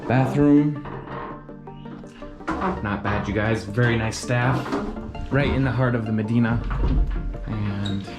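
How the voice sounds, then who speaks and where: A man's voice: medium pitch, slow pace, pauses often. Man, travel vlogger, enthusiastic, talks to viewers, music underneath. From United States. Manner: enthusiastic, addresses viewers as "you guys". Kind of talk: travel vlog narration and chat. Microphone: handheld camera. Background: background music.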